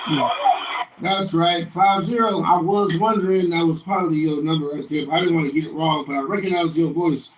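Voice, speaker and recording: A friendly male radio operator with a slightly high voice makes drawn-out calls and chats casually on air. He sounds far from the mic, with very loud radio noise around him. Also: fairly even intonation. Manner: friendly, drawn-out repeated call phrases. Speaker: amateur radio operator calling for contacts. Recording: noisy, echoey, distant radio room with static. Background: radio noise and clicks.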